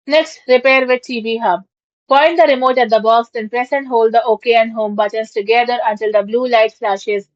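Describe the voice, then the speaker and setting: A woman with a fairly high voice narrates a scripted how-to guide as its host. She reads each short heading and then the instruction. The sound is dry and close, a little dull and lo-fi. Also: no echo. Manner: reads instructions aloud in short headed steps. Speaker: tutorial host. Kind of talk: scripted step-by-step tech tutorial narration.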